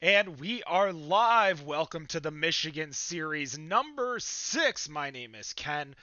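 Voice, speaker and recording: A man's voice: on the high side, lively intonation, slow pace, bright, forceful tone, dry recording, dull audio. A man hosting a podcast over a video call, upbeat and rambling with fillers. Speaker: podcast host.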